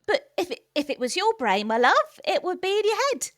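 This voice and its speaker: Plain-spoken female temp, played by a woman: animated, highish, dry recording, chatty disbelief. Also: fairly quick, dry recording. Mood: incredulous. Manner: chatty, incredulous.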